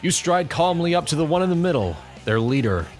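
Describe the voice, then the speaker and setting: A man's voice: on the high side, big pitch swings, fairly quick, faint music behind. A man narrating a scripted audio drama as storyteller.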